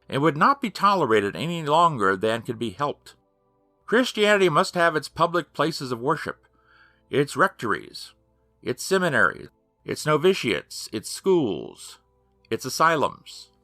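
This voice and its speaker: A man's voice: fairly high pitch, sing-song, normal speed, sharp tone, dry recording, soft background music. A man narrating an audiobook in a formal, emphatic, declamatory manner.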